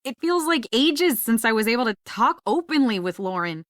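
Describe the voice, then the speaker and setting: A woman playing a theatrical, welcoming coven witch in a video game, with a flattering manner. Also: fairly high pitch, normal speed, no room sound, clean studio sound. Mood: grateful.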